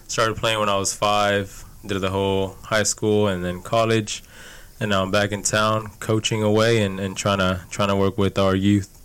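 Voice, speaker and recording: A man's voice: on the low side, flat delivery, moderate pace, a bit bright, clear, resonant tone. A young man from Houston answering casually as an interview guest, in a reflective, informal way. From Houston, Texas. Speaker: youth soccer coach, podcast guest.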